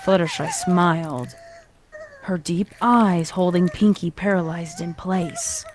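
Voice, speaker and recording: A woman's voice: fairly low pitch, wildly swooping pitch, takes it slowly, slight background noise. A woman reading a scripted horror fan fiction story as its narrator.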